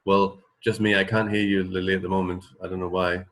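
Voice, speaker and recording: A man's voice: deep voice, level tone, a little fast, fairly bright tone. A man hosting a radio show from home, chatting casually in a loose, hesitant, rambling way. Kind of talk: casual chat.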